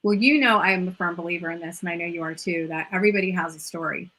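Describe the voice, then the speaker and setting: A woman's voice: lowish voice, rapid-fire, a bit bright. A woman hosting a casual interview, enthusiastic and chatty, often repeating phrases and saying "like". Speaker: host and yoga mentor. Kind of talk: casual video-call interview.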